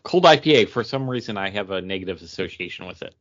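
A man's voice: sits low, expressive, fast talker, a bit bright. A man co-hosting a podcast with casual, informal banter. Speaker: podcast co-host. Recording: slightly dull, lo-fi podcast audio.

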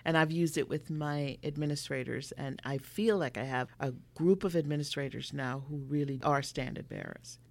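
Woman, from Jamaica, deep voice, middle-aged, podcast guest, earnest and reflective. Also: gravelly. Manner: reflective, earnest, slows for emphasis. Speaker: school district leader, guest. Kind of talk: podcast interview.

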